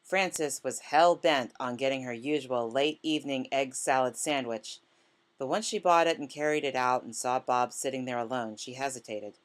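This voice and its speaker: A woman reading literary fiction aloud as scripted narration, in a measured manner. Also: rumbling low. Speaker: author reading a short story.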